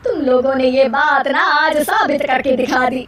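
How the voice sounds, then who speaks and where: An exasperated older mother-in-law, acted by a woman narrator, with a very high voice and loud, complaining delivery. She scolds melodramatically in a story for families, recorded slightly distant with faint noise. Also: moderate pace, roomy sound.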